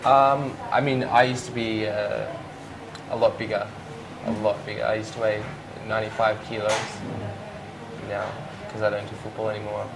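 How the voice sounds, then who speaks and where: A young Australian man, a fashion model, answering interview questions in a relaxed, hesitant way with many restarts. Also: fairly low pitch, normal speed, creaky. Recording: loud ambient noise behind.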